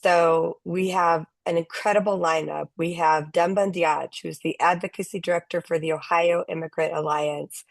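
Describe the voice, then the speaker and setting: A low-pitched woman hosting a video call panel. She introduces her guests with enthusiasm and a little fry. The sound is dry and close. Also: no room sound. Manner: enthusiastic, warm introductions with fillers. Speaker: host. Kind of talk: casual online panel hosting.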